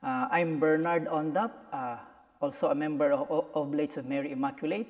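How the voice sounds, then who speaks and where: A man's voice: fairly high pitch, steady tone, brisk. A man in his forties with a Filipino accent, a priest chatting casually as an interview guest. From Philippines. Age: 40s. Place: echoey room in a church. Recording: echoey hall reverb.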